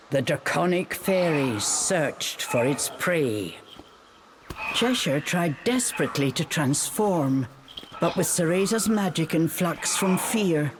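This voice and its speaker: A woman's voice: deep voice, slight background noise. A woman reading scripted storybook narration as a dramatic storyteller for a game.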